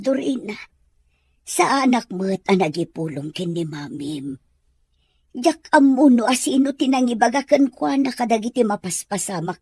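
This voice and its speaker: A woman's voice: mid-range voice, darkish tone, husky, no room sound. A woman with an Ilocano accent playing a worried, caring household helper in a comedy-drama podcast.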